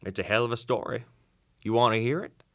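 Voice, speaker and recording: An actor playing a kindly old small-town Southern country doctor in a radio play, with a folksy, drawling storyteller's manner. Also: male voice, mid-range voice, steady tone, brisk, fairly bright tone, no room sound, studio quality, natural voice. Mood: folksy storytelling. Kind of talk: old-time radio drama acting. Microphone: shared vintage-style studio microphone.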